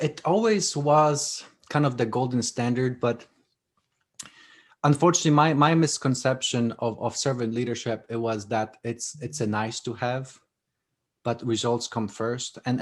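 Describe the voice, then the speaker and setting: A man's voice: mid-range voice, normal speed. A man whose first language is Polish, a guest author talking casually in an interview, often restarting and repeating words. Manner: hesitant, repeats words, many fillers.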